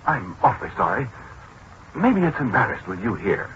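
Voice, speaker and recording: Forlorn, self-pitying American scientist, hesitant, very varied intonation, 1940s radio drama with slight hiss. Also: male voice, lowish voice, very animated, moderate pace. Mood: flustered, apologetic. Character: disgraced, apologetic scientist, gentle, forlorn, talks to machines. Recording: muffled, noisy 1940s radio recording.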